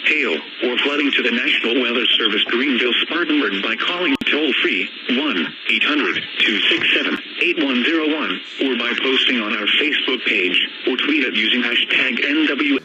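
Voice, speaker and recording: A man's voice: mid-range voice, normal speed, hardly any pauses. A man reading a scripted emergency weather warning in a formal, automated-sounding way. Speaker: weather alert announcer. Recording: dull, very noisy radio broadcast.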